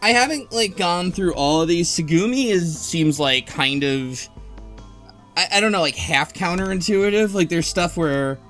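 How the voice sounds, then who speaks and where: A man's voice: high voice, animated, moderate pace, fairly bright tone, faint music behind. A man hosting a casual group discussion, explaining things in an easily amused, conversational way. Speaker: podcast host.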